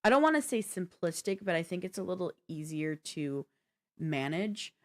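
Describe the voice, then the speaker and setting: Casual lower-pitched woman co-hosting a gaming show. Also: sing-song, moderate pace. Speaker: co-host of a video game podcast.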